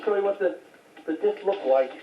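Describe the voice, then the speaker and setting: A woman's voice: on the low side, moderate pace, a little hiss or hum. A woman giving a casual, unscripted show-and-tell of a home collection in a rambling, matter-of-fact way. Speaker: home video collector showing recent finds. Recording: tinny phone-like audio, echoey, distant mic.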